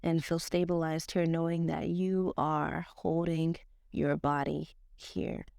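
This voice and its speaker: A woman with a stuffed-up, slightly nasal voice, leading a slow guided yoga class in a casual, slangy way. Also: deep voice, vocal fry, clear, resonant tone, no room sound, studio quality. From Nigerian-American. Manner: casual slangy teacher, slow calm cues. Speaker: yoga teacher.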